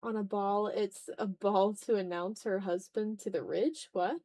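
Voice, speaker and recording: A woman's voice: medium pitch, steady tone, a little slow, dark tone. A woman chatting casually on a live art stream as she draws, playful and a bit dramatic, with offhand swearing. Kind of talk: casual live-stream chat while drawing.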